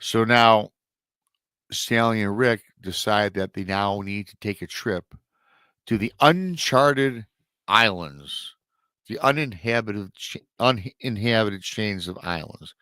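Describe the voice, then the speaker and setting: A man's voice: lowish voice, slow, sharp tone, clean tone, dry recording. A middle-aged man hosting a live show, retelling a strange encounter story in a chatty way, sounding nasal and a little scratchy from a lingering illness. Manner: chatty asides to viewers. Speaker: paranormal show host.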